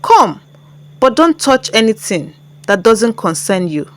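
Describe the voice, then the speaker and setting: A woman voicing a secretive, stern young man, curt and forceful, in a story. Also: on the high side, sing-song, measured pace. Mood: warning. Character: secretive, stern rich boyfriend, curt and menacing.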